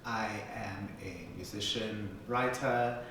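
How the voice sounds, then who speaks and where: A man in his twenties from South Africa, a musician, giving measured and reflective answers in a documentary interview. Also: lowish voice, heavy reverb, recorded from a distance, slight background noise. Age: 20s. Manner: measured, reflective, argues calmly.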